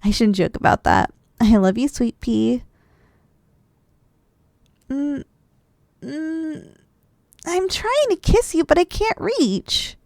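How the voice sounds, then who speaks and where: Woman as sleepy, loving young-sounding wife for cuddly ASMR roleplay: soft, teasing, very animated pitch, close dry sound. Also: takes it slowly, gravelly, pauses often, no room sound, heavily acted. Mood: sleepy, playful. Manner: soft, affectionate, teasing, with sighs and giggles. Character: affectionate, drowsy, playful wife cuddling after a big dinner. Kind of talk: ASMR roleplay, intimate one-sided dialogue.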